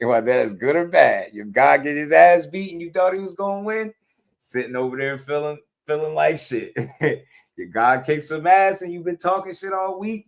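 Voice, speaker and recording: A man hosting a casual boxing talk show, animated and slangy, breaking into laughs as he riffs on fights. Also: medium pitch. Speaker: boxing podcast host.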